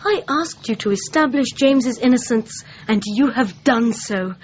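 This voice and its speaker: A woman playing an earnest, well-bred young client with an English accent and a polite, measured manner in a radio drama. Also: a little high, natural voice. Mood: earnest, formal. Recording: muffled, noisy radio play recording.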